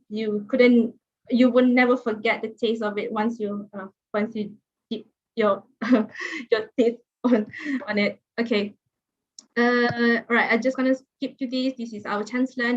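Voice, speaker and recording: A woman's voice: a little high, flat delivery, a little slow. A woman in her thirties with a Malaysian accent, giving a chatty online guest lecture with many fillers and restarts. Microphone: video call. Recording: muffled video call audio.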